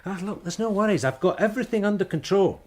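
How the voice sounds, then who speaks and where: An actor playing a cheery, down-to-earth detective sergeant in a radio drama, blokey and easygoing. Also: male voice, high voice, expressive, quick pace, a little mellow. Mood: breezy.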